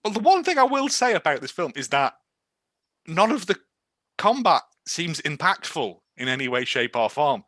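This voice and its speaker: A man's voice: a little high, sing-song, normal speed, fairly bright tone. A British man co-hosting a podcast, giving casual, joking and sardonic commentary on a film. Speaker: podcast co-host.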